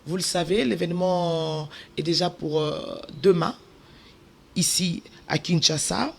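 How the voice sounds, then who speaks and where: A woman's voice: lowish voice, unhurried, creaky. A woman with a Congolese French accent hosting a panel interview as television presenter in a studio. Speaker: television host.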